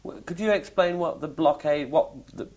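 A man's voice: on the high side, moderate pace, darkish tone. A man interviewing a veteran, asking probing follow-up questions and often restarting his sentences. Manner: probing, repeats words when starting questions. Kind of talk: oral history interview questions. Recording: dull lo-fi recording.

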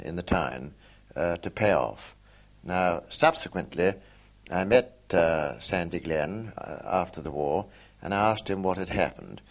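An older man, a war veteran, recalling events in an oral history interview, hesitant with many pauses and fillers. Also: low voice. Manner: hesitant, many ums and uhs, measured. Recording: dull lo-fi recording.

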